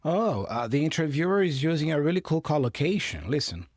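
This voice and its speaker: A man's voice: on the high side, big pitch swings, fairly quick, a little mellow, no echo, clean studio sound. A man with a Brazilian Portuguese accent, a native Portuguese speaker, patiently explaining English phrases from a clip for learners and checking in often. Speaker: English teacher.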